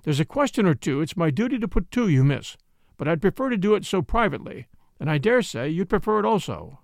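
Man playing a brusque, stubborn police inspector, animated. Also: high voice, fairly quick, vocal fry, no room sound, studio quality, exaggerated voice. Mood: dry. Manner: brusque, official, emphatic. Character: blunt, stubborn middle-aged male police inspector.

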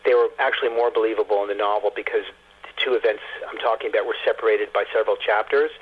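A man's voice: lowish voice, steady tone, quick pace, husky. A man giving conversational interview answers as a guest over the telephone, often repeating words as he explains. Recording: muffled narrow phone line.

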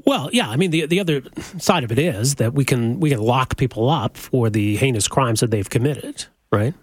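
A man hosting a call-in talk radio show, questioning callers in a quick, conversational way. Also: mid-range voice, expressive, slightly rough, dry recording.

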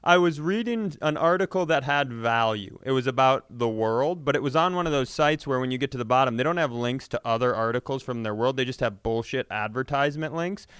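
A man chatting casually as a comedy podcast co-host, telling self-mocking, sweary stories in an animated way. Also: medium pitch, a little fast, hardly any pauses, smooth, no echo, clean studio sound.

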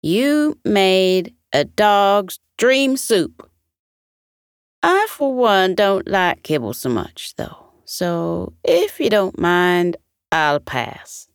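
Woman as male animal diner, polite, hesitant, bedtime story, very slow, expressive pitch. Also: clean tone, no echo, studio quality. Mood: polite, wary.

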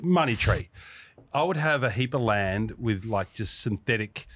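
A man's voice: fairly low pitch, expressive, measured pace, a bit bright, slightly rough. A man with an Australian accent telling casual stories in a blokey, slangy and enthusiastic way. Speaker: podcast speaker. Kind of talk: casual storytelling.

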